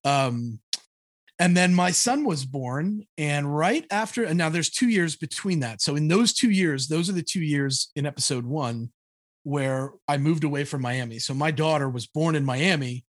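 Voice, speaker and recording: A man in his thirties telling his own life story on a podcast, blunt and animated, laughing easily. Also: fairly high pitch, moderate pace, no echo, studio quality. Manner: blunt, animated, laughs often, frequent "like, you know". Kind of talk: candid conversational storytelling.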